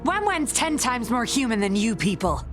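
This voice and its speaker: An actress playing a calm, sharp-tongued young woman in a video game. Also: a little high, moderate pace, music in the background. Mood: composed.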